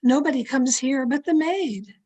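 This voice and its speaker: Woman acting a high-voiced, intense radio suspense heroine. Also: normal speed, a little mellow, husky, muffled recording. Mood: hushed, conspiratorial. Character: thrill-seeking young woman narrating her murder tale. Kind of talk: 1940s radio suspense acting, scripted first-person narration. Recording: video-call radio play with music underneath. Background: dramatic music.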